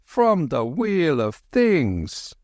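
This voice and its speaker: A man doing a high, solemn old lama. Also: sing-song, very slow, darkish tone, no room sound, studio quality, exaggerated voice. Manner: solemn, archaic, dignified speech. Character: old gentle holy man, a Tibetan lama.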